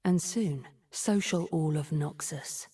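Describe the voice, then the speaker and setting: A cold, cunning sorceress from a video game. Her low voice is breathy and dark, often sinking near a whisper. She speaks in measured, haughty, theatrical phrases, like someone who is always plotting revenge. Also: heavily acted. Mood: sly, brooding. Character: cunning, imperious deceiver sorceress, cold and vengeful. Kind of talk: video game character voice lines.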